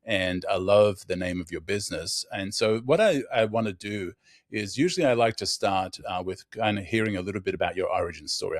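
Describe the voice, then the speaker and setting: A man's voice: deep voice, dry recording, clean studio sound. A man with a faint Australian accent, hosting a podcast with upbeat, enthusiastic chat. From raised in Australia. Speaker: podcast host. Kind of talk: casual interview chat.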